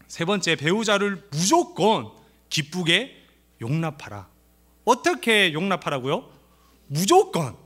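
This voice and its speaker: A middle-aged pastor from the Gyeongsang region, with a faint Gyeongsang accent, gives a church family seminar. His voice sits high and bright, and his pitch swings widely. He talks in a playful way and acts out the lines he quotes. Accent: light Gyeongsang. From Gyeongsang region of Korea.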